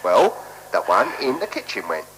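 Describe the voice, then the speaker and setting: An actor playing a shabby, apologetic hotel keeper in a radio play, rambling and repeating himself deferentially. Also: male voice, on the low side, huge pitch swings, normal speed, a bit bright. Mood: rambling, explaining. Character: garrulous, apologetic, shabby male hotel keeper with endless excuses. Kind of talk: 1970s radio play acting. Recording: humming, noisy 1970s radio recording.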